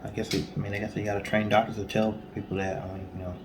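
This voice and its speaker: A man with a fairly low voice tells a story casually as a co-host. He slips into informal, chatty asides, and there is some faint noise behind him. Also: little pitch movement, very fast.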